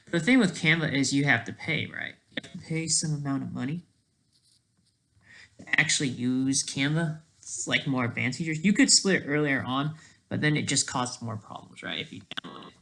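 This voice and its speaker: A person thinking aloud in casual, rambling, digressive commentary as a video creator, with a creaky voice. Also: medium pitch, moderate pace, a bit bright, a little hiss or hum, rough recording.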